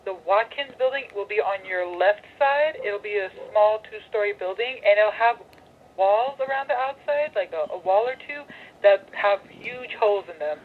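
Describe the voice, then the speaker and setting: A woman's voice: mid-range voice, animated, moderate pace. Woman on a tinny, noisy phone line. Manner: patient, searching for words. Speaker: university phone operator giving directions. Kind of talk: unscripted phone directions. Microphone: telephone heard over speakerphone. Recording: tinny noisy phone call through speakerphone.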